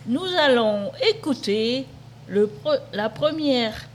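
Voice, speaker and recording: A woman in her fifties speaks slowly and carefully in a fairly high voice, reading prepared lines for a community radio show. She restarts words now and then as she reads. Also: slight background noise. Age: 50s. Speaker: day centre participant.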